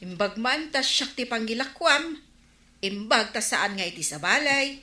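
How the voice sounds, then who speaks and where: A woman's voice: a little high, expressive, moderate pace, pushed and bright. A woman playing a village councillor who minds a store, in an Ilocano radio comedy drama. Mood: chatty. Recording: roomy, echoing radio drama recording.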